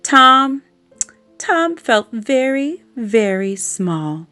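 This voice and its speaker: A woman reading a children's story aloud, expressive and adding playful little asides to her listeners. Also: on the high side, extremely slow, no room sound. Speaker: storybook reader. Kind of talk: bedtime story read-aloud. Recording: faint background music.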